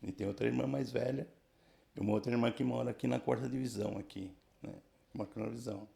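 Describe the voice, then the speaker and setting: A man in his sixties from the São region of Brazil, telling his life story casually in a halting way, full of restarts and tag questions. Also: medium pitch, fairly even intonation, vocal fry, warm tone. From Brazil, São Paulo region. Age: 60s. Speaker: church member giving testimony.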